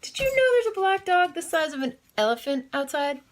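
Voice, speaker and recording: A woman's voice: very high voice, big pitch swings, brisk, pitched above the performer's own voice. A woman voicing a startled, flustered mother who exclaims, in a children's storybook. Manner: exclaiming, yelling.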